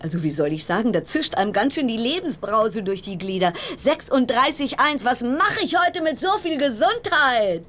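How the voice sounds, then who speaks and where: A woman's voice: lowish voice, a little hiss or hum. An actress playing an uneasy, wry woman confined aboard a ship, musing in fragments in a radio play monologue.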